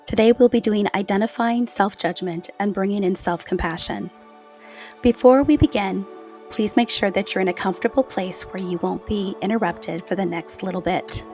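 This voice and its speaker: A woman leading a guided meditation in a slow, soothing, soft-spoken way with gentle pauses. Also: medium pitch. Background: new-age music.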